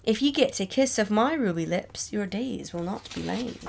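A woman's voice: mid-range voice, expressive, vocal fry. Woman reciting as a solemn ghostly young Scottish lover. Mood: grave warning. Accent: Scottish, put on. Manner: solemn, archaic, deliberate. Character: ghost of young William, a doomed male lover. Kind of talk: dramatic recital of an old ballad.

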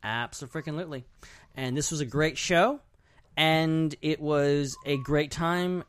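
A man's voice: a little high, a little slow, a bit bright, smooth. A man hosting a podcast in casual, enthusiastic chat, effusive and prone to repeating phrases for emphasis. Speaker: podcast host.

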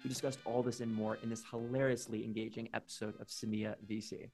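A man's voice: on the low side, flat delivery, gravelly, mellow, faint music behind. A man hosting a podcast, reading a scripted episode intro and then putting a question to his guest. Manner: brisk read-through, then a direct question. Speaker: podcast host.

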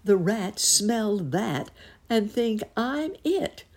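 A woman's voice: medium pitch, big pitch swings, extremely slow, darkish tone, pitched above the performer's own voice, heavily acted. A woman voicing a modest, shy elderly male rabbit in a storybook, courteous and a little bewildered. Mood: worried. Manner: courteous, old-fashioned, hesitant phrasing.

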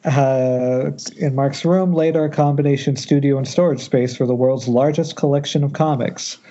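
A man's voice: medium pitch, fairly even intonation, moderate pace, warm tone, highs cut off. A man informally reading stage directions at a script table read over an internet call, with wry, jokey ad-libs.

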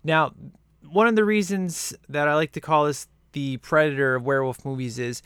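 A man's voice: fairly high pitch, steady tone, moderate pace, fairly bright tone, clean tone, dry recording, clean studio sound. Chatty man reviewing a movie. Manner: rambling, enthusiastic, many fillers and restarts. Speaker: podcast co-host reviewing a film. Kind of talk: casual movie-review chat.

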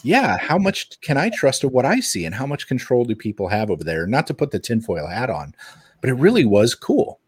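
A man's voice: mid-range voice, big pitch swings, a little fast, husky, no echo. A man hosting a podcast in casual chat, with an enthusiastic, jokey way of talking. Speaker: podcast host.

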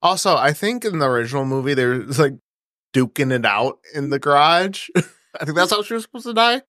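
Animated, low-voiced woman joking about films. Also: a little fast, no room sound, clean studio sound. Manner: animated, jokey, slangy. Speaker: podcast co-host. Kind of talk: casual joking film chat.

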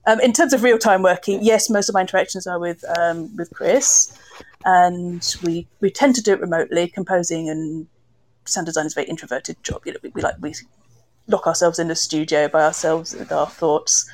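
Thoughtful woman with a lowish voice. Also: steady tone, a little fast, darkish tone, poor audio. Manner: thoughtful, hesitant, searching phrasing. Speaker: composer and sound designer. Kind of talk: reflective panel discussion.

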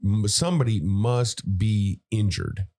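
A man co-hosting a casual comedy podcast, talking in a deadpan way and explaining things plainly. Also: fairly low pitch, measured pace. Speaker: podcast co-host.

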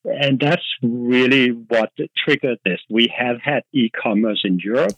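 A man's voice: mid-range voice, measured pace, a bit bright, no room sound. Danish guy on a podcast, um-ing and restarting a lot, dead dry muffled audio. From Denmark. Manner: hesitant, thinks aloud with many fillers and restarts. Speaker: guest, marketing director. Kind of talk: casual podcast interview.

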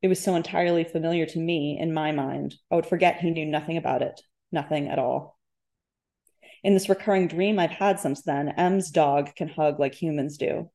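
A woman author reading literary first-person fiction aloud in measured, deliberate phrases. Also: low voice, warm tone. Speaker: debut author, guest.

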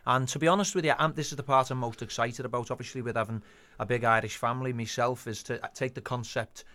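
A man's voice: mid-range voice, steady tone, fast, bright, forceful tone. A man with a Liverpool Scouse accent, telling anecdotes as a guest writer and director in a radio interview. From Liverpool, England.

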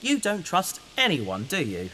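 Earnest, very animated researcher. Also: female voice, on the low side, a little slow, heavily acted, low-quality recording. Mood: needling. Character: earnest researcher on a risky space mission. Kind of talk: audio drama acting. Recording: faint hiss behind the voice.

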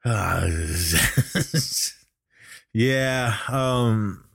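A man's voice: medium pitch, extremely slow, gravelly, a bit bright, smooth, no room sound, studio quality. A middle-aged man co-hosting a podcast in casual chat, rambling and good-humoured, given to chuckling. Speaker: podcast co-host. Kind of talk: casual chat reviewing wrestling.

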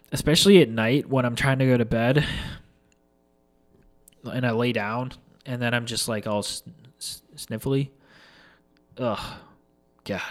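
A young man telling a casual, rambling story with lots of fillers and swearing, sounding a little congested from allergies. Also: lowish voice.

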